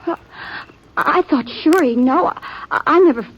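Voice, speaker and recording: An actress playing a shy, earnest young American country girl in a 1950s radio Western, speaking in a halting, plaintive way. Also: sits high. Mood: hurt, downcast. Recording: dull lo-fi vintage radio, background noise.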